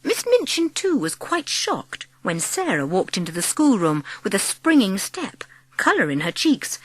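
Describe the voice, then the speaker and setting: A woman narrating a dramatised storybook as an audiobook, with expressive, measured phrasing. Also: on the low side, no room sound.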